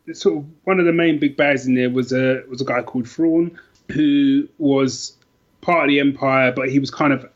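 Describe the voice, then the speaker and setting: Male podcast guest, mid-to-low pitch, informal book talk, slightly lo-fi sound. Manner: informal and colloquial. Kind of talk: casual book chat.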